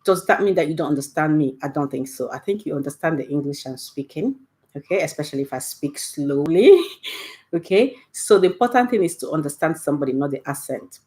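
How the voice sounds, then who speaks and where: Nigerian woman, middle-aged, low voice, patient teacher tone, keeps checking in with "okay?". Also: expressive, brisk, dark tone. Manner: friendly, patient, punctuates points with "okay?". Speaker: Igbo language teacher. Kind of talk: casual online language lesson.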